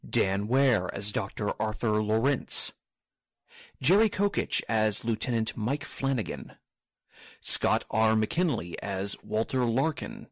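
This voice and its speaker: A man's voice: on the low side, big pitch swings, takes it slowly, no room sound. A man announcing for a radio theater show, reading scripted closing credits close to the mic.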